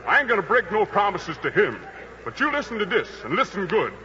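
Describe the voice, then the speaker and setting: A man's voice: high voice, sing-song, brisk, sharp tone, exaggerated voice. An American man playing a cocky, bossy prizefighter in 1940s radio drama, blunt, slangy and commanding. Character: cocky, bossy prizefighter, big star of the ring. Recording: muffled 1940s radio broadcast with music.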